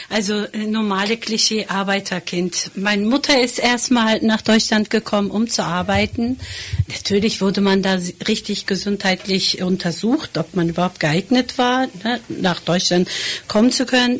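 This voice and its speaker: A woman in her fifties, a longtime member, telling her family story in a relaxed way. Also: medium pitch. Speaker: interviewee, longtime association member. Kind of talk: personal account in an interview.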